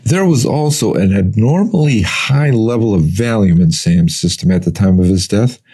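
Male detective sergeant, audio crime drama. Formal, falsely gentle, soft dark tone with rough edges. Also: fairly low pitch, expressive. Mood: calm, probing. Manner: measured, formal, probing. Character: deceitfully tame, polite male police detective sergeant. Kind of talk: gritty audiobook drama acting.

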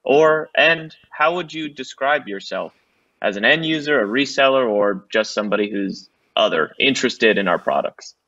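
A man co-hosting a webinar over a video call, reading out poll questions in a relaxed, plain way. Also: medium pitch, normal speed, no echo. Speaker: webinar co-host. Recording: dull distant video call audio.

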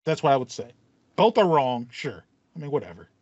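A man's voice: medium pitch, very animated, fairly quick, a little mellow, no room sound. A man in his thirties chatting casually as a podcast co-host, stressing points emphatically by repeating himself. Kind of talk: casual video game chat. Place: small home room with foam panels, video call. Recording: slightly dull, band-limited audio.

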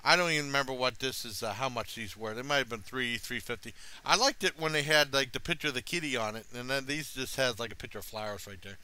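This is a middle-aged man with an American accent and a bright, clean voice at an average pitch. He talks casually into a headset, meandering through a homemade review in plain, easygoing words. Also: fast, few pauses, clear, resonant tone, rough recording. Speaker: product reviewer, vlogger. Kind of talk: casual rambling review to camera. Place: small room at home.

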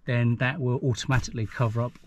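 A man's voice: medium pitch, steady tone, fast talker, no room sound. A man, talking casually through a how-to job inside a parked car, chatty and self-deprecating as he thinks aloud. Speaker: vlogger. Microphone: action camera mounted in the car.

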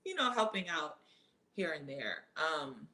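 Relaxed athlete host, thirties, bright tone in a lower register, reads questions then answers. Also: female voice, unhurried. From Trinidad and Jamaica family roots, living in the US. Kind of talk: casual live Q&A.

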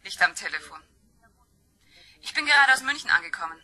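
A woman's voice: mid-range voice, very animated, fairly quick, pushed and bright, exaggerated voice. A woman playing an insistent, purposeful stepdaughter in a crime radio play, polite but urgent. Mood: urgent, determined.

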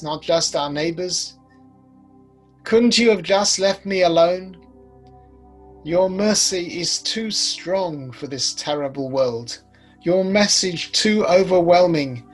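A British man in his sixties playing a bitter, weary old prophet in a measured, rueful dramatic monologue. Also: on the high side. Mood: pleading, weary. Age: 60s. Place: home study, video recording. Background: music.

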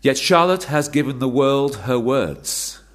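An actor playing a grieving, devout older clergyman in a radio play, speaking in a measured, formal, preacherly way. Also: mid-range voice, natural voice. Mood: grave, composed. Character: grieving elderly clergyman father, solemn and devout. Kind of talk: radio play acting, funeral sermon.

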